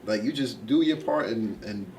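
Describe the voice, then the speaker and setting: A man's voice: fairly low pitch, expressive, normal speed, a little mellow, a little hiss or hum. A young man from Brooklyn, telling stories and joking as an interview guest. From Brooklyn, New York. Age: young adult. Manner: storytelling, joking, street slang. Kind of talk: casual chat. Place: indoor studio with backdrop. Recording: distant from the mic.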